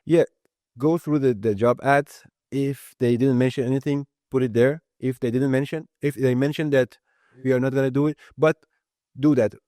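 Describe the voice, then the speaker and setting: A man whose first language is Persian talks in a casual, earnest way, restarting phrases as he makes his points. His voice sits at a middle pitch. The sound is dry and close, with no room echo. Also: steady tone, normal speed, mellow. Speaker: guest, IT lead. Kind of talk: casual interview talk.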